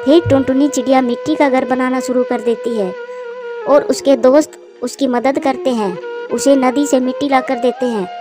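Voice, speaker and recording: A woman's voice: high voice, fairly even intonation, normal speed, music in the background. A woman reading scripted narration for a children's moral story.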